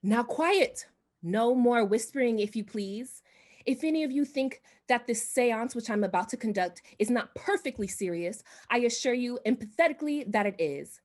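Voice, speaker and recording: A woman's voice: on the high side, animated, moderate pace, a little mellow, natural voice. A woman playing a gushing, self-important society hostess and would-be medium in a radio drama, speaking in a grand, theatrical manner. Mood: pompous, theatrical.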